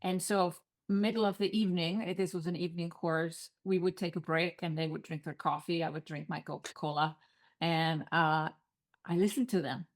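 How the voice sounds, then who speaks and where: A woman's voice: fairly low pitch, fairly even intonation, normal speed. A middle-aged woman with an Icelandic accent, a guest telling her story in an earnest way on a podcast interview. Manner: earnest, storytelling, occasional non-native phrasing.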